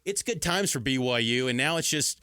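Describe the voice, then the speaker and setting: An American man talks sports as a studio guest. His voice is bright and forceful at a middle pitch, with a casual analytical style and a dry, close sound. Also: expressive, fairly quick, smooth, no room sound. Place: radio studio.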